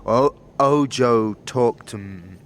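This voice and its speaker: A man playing a burly, simple-minded villager in an audio drama, wary and childlike, with halting, broken speech. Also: medium pitch, lightly acted. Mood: timid. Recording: music under the voice.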